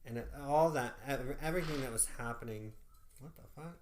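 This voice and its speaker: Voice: medium pitch, brisk, a little hiss or hum. A podcast co-host in their thirties chatting casually, talking bluntly and swearing freely. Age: 30s. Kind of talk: casual chat.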